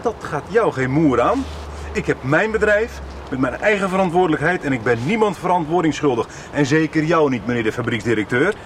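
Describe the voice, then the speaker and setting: An actor in a radio soap playing a proud, touchy middle-aged farmer, blunt, sarcastic and defensive. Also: male voice, fairly high pitch, animated, fairly quick, a little hiss or hum, natural voice. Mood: defensive, indignant.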